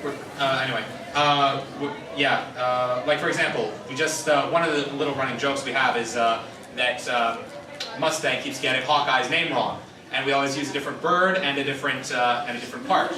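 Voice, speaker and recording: A man's voice: mid-range voice, fairly even intonation, brisk, reverberant. A man giving an informal panel talk to an audience, stammering and rambling loosely, recorded from a distance in a noisy room. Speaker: convention panelist, fan dubber.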